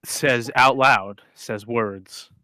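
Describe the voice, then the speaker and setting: Male player, bright and hesitant, dry close recording. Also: mid-range voice, very slow, dry recording. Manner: hesitant, with many ums and stammered restarts. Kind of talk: playing a tabletop game.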